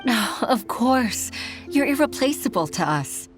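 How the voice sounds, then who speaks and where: A woman playing a sincere, humble secretary and mother in an anime game, speaking warmly and respectfully with some hesitation. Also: on the high side, sing-song, unhurried. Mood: heartfelt. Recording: faint music behind voice.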